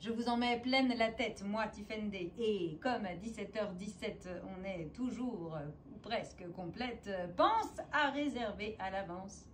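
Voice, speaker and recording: A woman performing a spoken-word poem in a theatrical, declamatory way, with deliberate phrasing, dramatic pauses and emphasis. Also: medium pitch, a little hiss or hum. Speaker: poet and stage performer.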